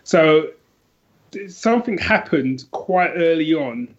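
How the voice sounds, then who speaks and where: The sound is a little dull and lo-fi. A man with a fairly high voice chats as a podcast guest about books, enthusiastic and emphatic, looping back and restarting as he goes. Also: animated, slow pace. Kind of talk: casual book chat.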